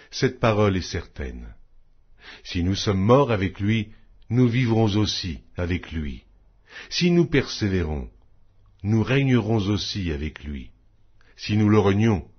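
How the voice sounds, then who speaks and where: A man narrating a Bible audiobook in a solemn scripture-reading style, with measured, declamatory phrasing. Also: lowish voice, husky, lo-fi sound.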